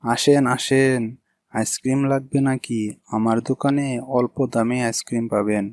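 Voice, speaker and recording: A man's voice: medium pitch, fairly even intonation, normal speed, a little mellow, lightly acted. A man voicing an ice cream vendor serving customers in a cartoon. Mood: inviting. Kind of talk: cartoon dialogue.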